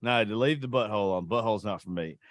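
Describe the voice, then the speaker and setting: A man's voice: on the low side, fast talker, no echo. Guy hosting a podcast, swearing a lot, cracking crude jokes, dry close mic. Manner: profane, joking, laughs at himself. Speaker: podcast host. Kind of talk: casual crude storytelling chat.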